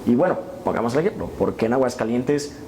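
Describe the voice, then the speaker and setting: A man's voice: mid-range voice, expressive, fast talker, mellow. A man with a Mexican Spanish accent hosting a panel debate and asking long, rhetorical questions. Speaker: debate show host. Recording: echoey studio with background noise.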